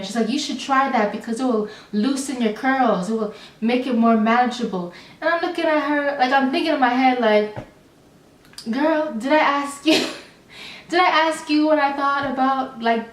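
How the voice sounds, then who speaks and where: Young woman, chatty vlog storyteller, rambling with fillers, fairly high voice, echoey room. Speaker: vlogger. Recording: roomy, slightly distant mic.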